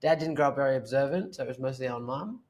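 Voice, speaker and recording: A man's voice: medium pitch, quick pace, a little mellow. A man, a painter guesting on a podcast, talking casually and rambling with many fillers. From Australia. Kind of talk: casual interview talk.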